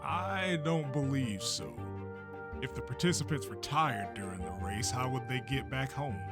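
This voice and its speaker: Manga dub: male actor as bold showman promoter, mid-pitched, deliberate and declamatory, music underneath. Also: lively intonation, natural voice. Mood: measured, confident. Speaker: race promoter at a press conference. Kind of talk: manga dub acting, speech to press.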